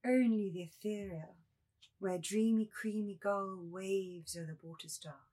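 A woman's voice: mid-range voice, unhurried, dark tone, lightly acted. A woman playing a haughty, prim immortal nymph in a theatrical literary reading. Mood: dreamy, pious. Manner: lofty, theatrical, indignant when offended.

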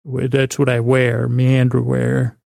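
A man hosts a sleep podcast in a creaky, relaxed voice, recorded close and dry. He meanders gently through his sentences, stumbling and restarting as he goes, with a soothing, quietly absurd bent. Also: mid-range voice, no echo. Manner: meandering, halting, stumbling over words. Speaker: podcast host. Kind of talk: rambling bedtime-story sleep podcast monologue.

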